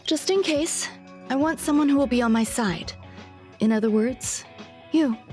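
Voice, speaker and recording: A woman's voice: high-pitched, a little slow, darkish tone, faint music behind. An actress playing a composed, aloof genius scientist in a video game, politely commanding in her manner. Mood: composed, quietly commanding. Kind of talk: video game character acting.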